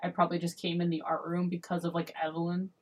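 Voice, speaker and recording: An eighteen-year-old woman chatting with friends, dramatic and teasing, with quick reactions. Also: lowish voice, completely flat delivery, a little mellow. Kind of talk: casual chat among friends. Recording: voice a bit far from the mic.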